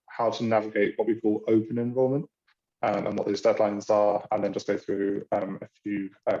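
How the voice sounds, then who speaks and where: British guy doing an online orientation, low flat voice, lots of ums, kinda muffled zoom audio. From Britain. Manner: hesitant, many ums and uhs. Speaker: university international programs staff. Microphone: video call.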